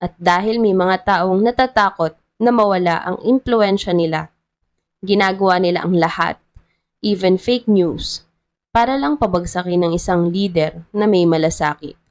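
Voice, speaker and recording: A woman with a Filipino accent voicing a strict, principled female town treasurer in a story, calm, formal and resolute. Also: on the low side, moderate pace, close to an everyday voice.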